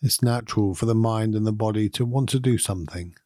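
A man leading a guided meditation in a calm, soothing, unhurried manner with long pauses between phrases. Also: on the low side, no room sound, studio quality. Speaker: meditation guide and podcast host. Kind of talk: guided meditation, gentle instruction.

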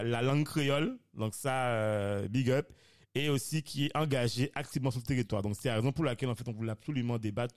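A man in his thirties hosting a casual discussion podcast, animated and given to repeating words and restarting. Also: medium pitch. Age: 30s. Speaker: podcast host.

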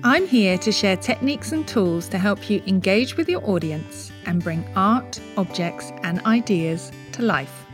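Woman podcast host, medium pitch, clear measured intro and interview chat. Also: lively intonation, over music.

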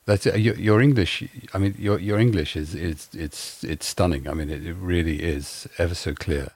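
A man interviewing a guest, asking polite, halting questions with restarts. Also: deep voice, a little mellow, husky, no room sound, clean studio sound.

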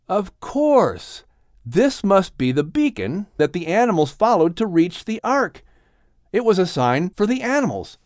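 A man's voice: high voice, dry recording, clean studio sound. A man narrating an audiobook story expressively, in a dramatic and earnest manner.

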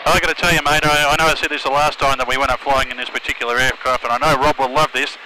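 A man's voice: medium pitch, steady tone, rapid-fire. A man with an Australian accent chatting casually as a podcast host, speaking over an aircraft intercom. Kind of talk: casual chat about flying. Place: cockpit of a small aircraft in flight. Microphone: aviation headset intercom. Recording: intercom audio with aircraft engine noise.

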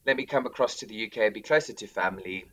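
A man's voice: lowish voice, quick pace, fairly bright tone, highs cut off. A man in his thirties with a South African accent, talking casually as an interview guest with many ums and restarts. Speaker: director of drama, guest.

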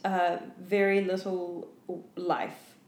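A woman's voice: lowish voice, little pitch movement, slow. A tired woman co-hosting a podcast, chatting and telling stories casually in a playful, giggly way, with some swearing. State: tired after a night without sleep. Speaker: podcast co-host. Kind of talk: casual chat and storytelling between friends. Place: indoor room at home. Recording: echoey room.

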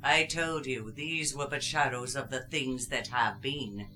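A man's voice: medium pitch, measured pace, pushed and bright, soft background music. A man playing a solemn, stern spirit in a radio play, speaking in a declamatory theatrical way. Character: solemn, stern Ghost of Christmas Past.

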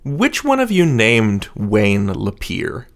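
A man's voice: lowish voice, huge pitch swings, slow. A man hosting a conversational interview, putting questions and comments to his guests. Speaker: interviewer, host.

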